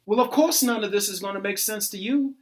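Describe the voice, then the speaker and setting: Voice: medium pitch, brisk, close to an everyday voice. Someone playing a smug preachy know-it-all in a satire skit, talks down to you, impatient, emphatic, cusses. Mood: smug, dismissive. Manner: emphatic, condescending, impatient, swearing. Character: arrogant, self-righteous dogmatic believer, dismissive and condescending. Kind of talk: scripted satirical dialogue.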